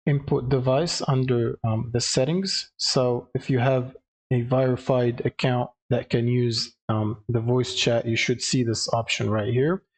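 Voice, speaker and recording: A man presenting a casual step-by-step software tutorial, explaining with some hesitation. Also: mid-range voice, steady tone, unhurried.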